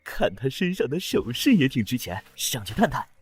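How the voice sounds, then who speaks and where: An actor playing a scheming, eager male street crook in a costume drama, husky and oily. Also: mid-range voice, big pitch swings, moderate pace, faint music behind. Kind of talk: dubbed animated costume drama.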